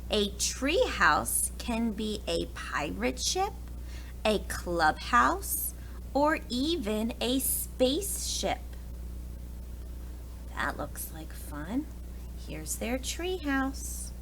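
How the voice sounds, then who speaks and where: A woman's voice: on the high side, big pitch swings, drawn-out words, a bit bright, low-quality recording. An American woman, a preschool teacher, reads a picture book aloud expressively, addressing young children with questions and asides. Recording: clear background noise.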